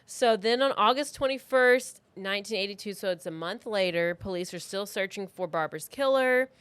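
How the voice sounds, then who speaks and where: A woman's voice: mid-range voice, moderate pace, fairly bright tone, clean tone, no room sound. An American woman hosting a podcast, telling a true-crime story in a chatty, informal way peppered with "like". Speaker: podcast host.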